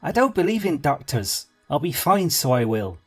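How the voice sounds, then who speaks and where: Brief: man putting on a little man, high and raspy, easily offended, pitch leaping about. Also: sing-song, faint music behind. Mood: cranky. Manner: indignant, quick to take offense. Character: tiny touchy Irish man caught in a trap. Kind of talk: storybook character voice.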